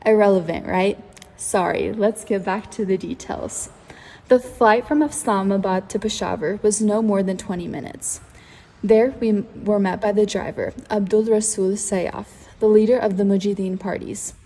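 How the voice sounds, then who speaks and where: Woman playing a reflective young man, mellow earnest storybook read, reverberant recording. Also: medium pitch, fairly even intonation, normal speed, lightly acted. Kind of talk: scripted first-person narration. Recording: long reverb.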